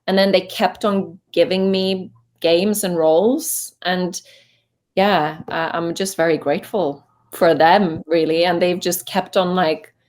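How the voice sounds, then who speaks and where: A woman's voice: on the low side, fairly even intonation, unhurried, darkish tone. A young woman from Norway with a Norwegian accent, chatting casually as a voice actor guest in an interview, full of fillers and restarts. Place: home voice booth.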